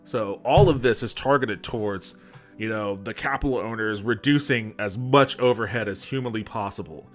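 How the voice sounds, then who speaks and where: A man with a fairly low voice talks off the cuff like a gaming streamer. He is sardonic and skeptical and swears casually. Music plays behind him. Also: moderate pace. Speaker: host of a gaming podcast and stream.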